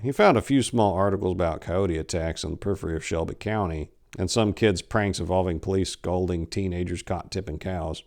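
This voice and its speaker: A man in his forties narrates a creepy tale in a fairly low voice. His delivery is theatrical and expressive, like a staged dramatic reading. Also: fairly quick, few pauses. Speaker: story reader. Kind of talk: dramatic reading of a horror short story. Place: indoors, book-lined room. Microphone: lapel.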